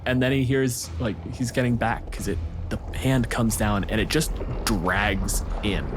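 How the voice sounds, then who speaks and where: A man running a tabletop roleplaying game as game master, narrating in a casual, dryly wry way. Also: mid-range voice.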